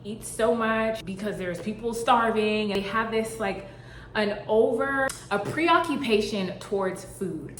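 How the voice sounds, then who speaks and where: A woman's voice: medium pitch, a bit bright, a little hiss or hum. A young woman from Boston, founder of a fashion brand, talking casually and playfully to the camera with plenty of fillers. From Boston, Massachusetts. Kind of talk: casual vlog talk to camera. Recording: echoey room with faint ambience.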